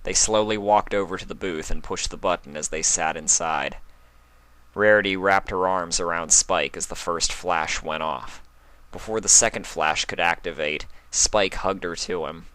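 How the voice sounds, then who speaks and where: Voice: deep voice, normal speed, a bit bright, no room sound, poor audio. A fan fiction reader giving a scripted narration of a romantic story.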